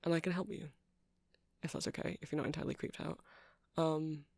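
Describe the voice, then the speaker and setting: A soft-spoken man doing a rambling ASMR roleplay as a shopkeeper, hesitant and apologetic in manner. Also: on the high side, little pitch movement, brisk, creaky, dry recording. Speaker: character performer playing a shopkeeper.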